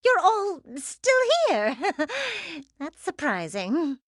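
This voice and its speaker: A woman voicing a vain, gushing gem queen villain in an animated game, theatrical and condescending. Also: high-pitched, extremely slow, no room sound, studio quality, full character voice. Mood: amused, mocking.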